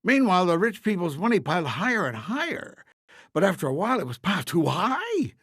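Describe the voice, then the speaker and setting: A man's voice: high-pitched, sing-song, fairly quick, a bit bright, breathy edge, dry recording, clean studio sound. A man narrating a scripted fairy tale in a simple, emphatic storybook style.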